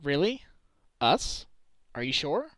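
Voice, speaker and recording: A man's voice: a little high, sing-song, slow, fairly bright tone, exaggerated voice. A man playing an earnest, protective lawyer in a video game fandub. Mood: curious.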